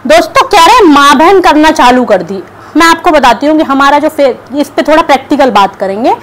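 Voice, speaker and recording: A woman's voice: sits high, rough recording. A young woman vlogger giving combative reaction commentary to camera, scolding and sarcastic. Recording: clear background noise.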